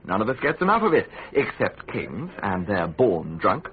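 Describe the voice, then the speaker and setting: An actor with an English accent playing a smug, worldly government schemer in a Tudor radio drama, teasing and insinuating with pointed pauses. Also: male voice, fairly low pitch, very animated. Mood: self-satisfied. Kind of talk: British radio drama acting. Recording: tinny narrow-band radio recording.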